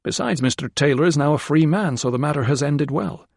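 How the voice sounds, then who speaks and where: A man voicing a calm, measured young male doctor who speaks quietly. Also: fairly high pitch, creaky, no echo, clean studio sound, voice pushed up.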